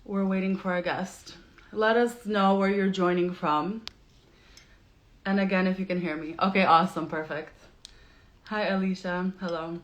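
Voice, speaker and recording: A woman's voice: lowish voice, steady tone, moderate pace, long pauses. A woman hosting a casual live video interview from New York over a video call, chatty and laughing often, with many fillers. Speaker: podcast host.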